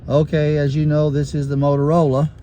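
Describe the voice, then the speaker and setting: A man's voice: on the high side, monotone, fairly quick, dark tone. A man talking casually to camera in a plain-spoken, folksy way, as host of a field test. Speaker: host of a gear review video. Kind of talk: casual chat to camera while field testing walkie-talkies. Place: outdoors and inside a truck. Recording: noisy outdoor and in-truck recording. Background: vehicle and outdoor noise.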